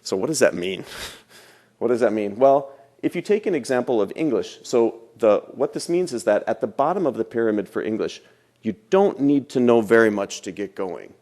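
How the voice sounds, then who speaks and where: A man's voice: medium pitch, expressive, moderate pace, darkish tone. A man giving a lecture as a language teacher, explaining step by step and repeating phrases for emphasis. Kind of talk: classroom lecture.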